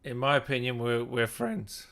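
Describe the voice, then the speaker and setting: A man's voice: mid-range voice, sing-song, normal speed, a crowd in the background. A man with an Australian accent hosting a casual interview in a laid-back, friendly way. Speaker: interview host.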